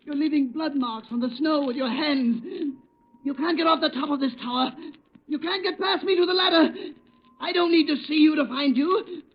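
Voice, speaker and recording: A very high-pitched crazed poisoner. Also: male voice, normal speed. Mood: menacing glee. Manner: cackling, taunting, gloating. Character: crazed vengeful male poisoner, gloating maniac. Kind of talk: 1930s-40s radio drama acting. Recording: muffled old radio recording.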